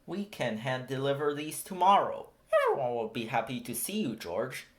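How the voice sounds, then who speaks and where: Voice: medium pitch, sing-song, moderate pace. A storybook narrator voicing a kindly, easygoing man who is a patient friend. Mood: warm, encouraging. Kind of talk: children's storybook character voice.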